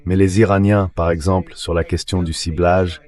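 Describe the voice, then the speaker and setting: A man giving measured, analytical geopolitical commentary as an interview guest, read by a synthetic translation voice. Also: sits low, flat delivery, a little mellow.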